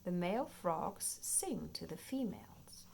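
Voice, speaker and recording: A woman narrates a children's picture book in a playful read-aloud style. Her pace is slow and her pitch moves in lively swoops, with some creak in the voice. There is faint noise behind her. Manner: expressive, playful, clearly paced for young children. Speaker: children's storybook reader.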